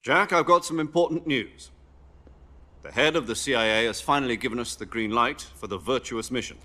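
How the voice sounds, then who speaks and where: A man's voice: medium pitch, a little fast, pushed and bright, natural voice. An actor playing a military commander who briefs his field agent in a game cutscene. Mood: briefing. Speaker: mission commander on radio.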